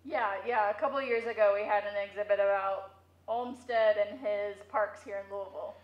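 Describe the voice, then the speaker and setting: A woman's voice: mid-range voice, fairly even intonation, normal speed, lo-fi sound. A woman giving casual interview answers as a museum curator, heard through a megaphone in an echoey room.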